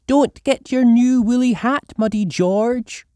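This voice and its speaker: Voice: medium pitch, slow pace, mellow, no echo, clean studio sound, pitched above the performer's own voice, lightly acted. A storyteller voicing a fussy, exasperated mother pig in a children's storybook. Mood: firm. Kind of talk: children's storybook read aloud.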